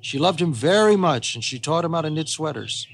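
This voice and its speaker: A man's voice: on the high side, expressive, a little fast, slight background noise, pitched above the performer's own voice. A man voicing an eccentric old grandfather who tells tall tales in an earnest, emphatic way for a storybook. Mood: earnest, boastful. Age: older.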